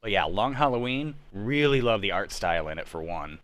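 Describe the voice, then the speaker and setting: A man's voice: on the low side, expressive, a little fast, fairly bright tone. A young man chatting casually as a fan, giving emphatic opinions. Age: young adult.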